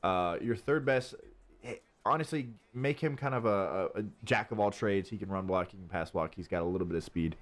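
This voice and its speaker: A young adult man, stuffy and nasal from allergies, excitedly rambling through a game strategy tutorial. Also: low-pitched, fairly quick. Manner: hyped, rambling, Southern-tinged slang. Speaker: gaming YouTuber. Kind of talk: casual game tutorial commentary.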